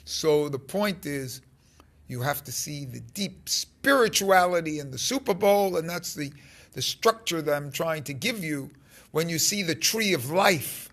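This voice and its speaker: A man in his fifties giving an informal spiritual talk to his phone, halting and rambling, with emphatic stresses and a gravelly voice. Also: fairly high pitch, lively intonation, a bit bright. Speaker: spiritual teacher. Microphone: phone held close at arm's length.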